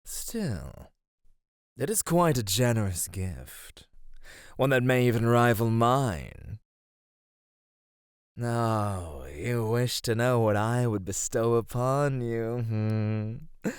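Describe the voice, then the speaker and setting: Man playing a slow, lilting flirty god. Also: medium pitch, expressive, smooth, no echo, studio quality. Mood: gracious, teasing. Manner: teasing, theatrical, drawn-out delivery with soft laughs. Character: flirty, amused male god of radiance addressing a mortal. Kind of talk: ASMR roleplay acting.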